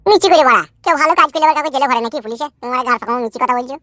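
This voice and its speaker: A woman's voice: high-pitched, rapid-fire, pushed and bright, no echo, studio quality. A woman voicing a cheeky, aggrieved young village lad in a comic cartoon, chattering bluntly. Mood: defiant. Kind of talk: comic cartoon dialogue in rural dialect.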